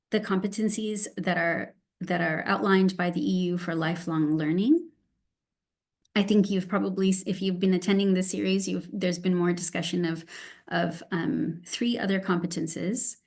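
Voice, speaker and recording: A middle-aged woman with a Canadian English accent gives an online presentation as a guest speaker, halting and nervous, with frequent ums and restarts. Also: mid-range voice, darkish tone. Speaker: guest speaker, Montessori teacher educator. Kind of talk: online presentation with shared slides. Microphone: video call.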